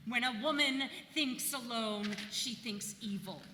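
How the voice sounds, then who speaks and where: A woman's voice: a little high, a little slow, bright, forceful tone. Woman acting a piercing, defiant accused witch-wife. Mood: furious, invoking. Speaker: stage actress. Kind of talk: live dramatic reading of a play. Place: live reading in a church venue. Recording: echoey hall, faint background noise.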